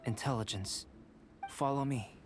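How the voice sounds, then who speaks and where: An actor voicing a calm, erudite young male alchemist who speaks in a measured, articulate, academic way. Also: mid-range voice, noisy background, close to an everyday voice. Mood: assured. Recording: studio game voice with clear music.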